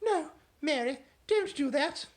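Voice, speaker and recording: A refined queen from a storybook, high and plummy, drawing out her exclamations, as a woman reader puts her on. Her voice swoops widely and rings out loudly. She is gracious but quick to be shaken. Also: very animated, husky, heavily acted. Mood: stunned.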